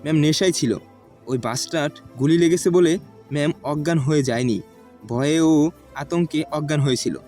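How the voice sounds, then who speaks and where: A man's voice: a little high, normal speed, darkish tone, lightly acted. A man playing a loyal, earnest young henchman in an audio story drama, over music. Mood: urgent, earnest. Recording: music bed behind the voice.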